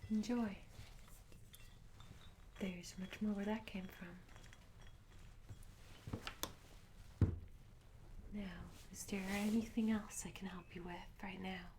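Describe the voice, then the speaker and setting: A charming young tavern maid, acted by a woman in a fantasy ASMR roleplay. She speaks in a soft, breathy half-whisper, courteous and coy, with gentle storybook phrasing. The mic is close, with clear noise and small clicks around the voice. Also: mid-range voice, natural voice. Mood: welcoming, attentive. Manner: gentle, polite, old-fashioned storybook phrasing. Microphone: close ASMR microphone.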